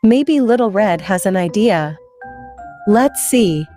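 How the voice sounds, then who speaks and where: Mellow woman telling kids a story. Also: mid-range voice, lively intonation, slow pace, soft background music. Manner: playful, conversational, addresses children directly. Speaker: storyteller and children's host. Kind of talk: interactive children's story narration.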